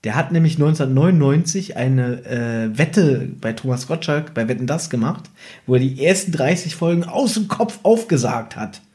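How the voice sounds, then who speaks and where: A man's voice: mid-range voice, expressive, moderate pace, mellow. A man co-hosting a podcast in casual, playful, storytelling chat, sharing one microphone in a living room. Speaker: podcast co-host. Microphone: one microphone shared by two people.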